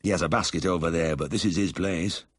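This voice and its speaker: A man voicing a bedridden, cheerful ex-miner with a husky South Yorkshire accent in a story reading. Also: sits low, fairly quick, no echo. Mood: fond, chatty. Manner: plain-spoken dialect, warm and uncomplaining.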